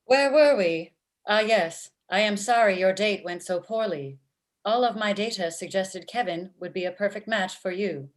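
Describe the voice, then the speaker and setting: Woman doing a stilted, deadpan robot matchmaker. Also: mid-range voice, normal speed, lightly acted. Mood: blunt, unfeeling. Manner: slightly stilted, deadpan, like a digital assistant. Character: blunt sarcastic female old-timey robot matchmaker. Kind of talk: table read of a comedy script. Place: indoor room at home, video call. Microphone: computer mic on video call.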